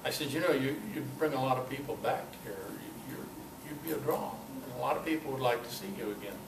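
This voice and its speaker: An older man giving an informal talk at a club meeting, telling personal anecdotes in a halting, conversational way. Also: medium pitch. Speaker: guest speaker at a club meeting. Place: meeting room, in front of an audience. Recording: echoey, noisy room recording from far off.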